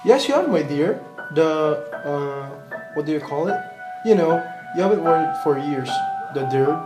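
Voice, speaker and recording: An actor playing a fond, self-satisfied middle-aged husband in a radio play, with a mock-pompous, teasing manner. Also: male voice, on the high side, animated, moderate pace, over music, close to an everyday voice, poor audio. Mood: puzzled coaxing.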